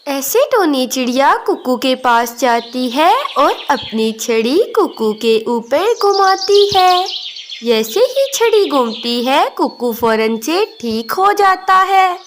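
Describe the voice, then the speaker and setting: A woman narrates a children's tale in a high voice. She goes slowly, stretching phrase endings, with birdsong and slight noise behind her. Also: lively intonation. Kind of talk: scripted children's story narration.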